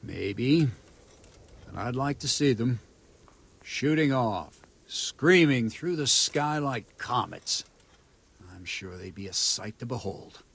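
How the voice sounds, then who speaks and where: An actor playing a gruff but good-natured soldier in a medieval video game, husky and wryly plain-spoken. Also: male voice, medium pitch, animated, slow pace, a bit bright, heavily acted. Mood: musing.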